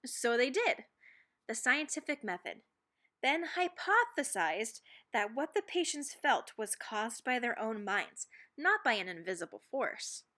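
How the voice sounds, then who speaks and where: A woman reading a children's picture book aloud expressively, with dramatic, deliberate emphasis on key phrases. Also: on the high side, big pitch swings, sharp tone. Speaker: read-aloud narrator.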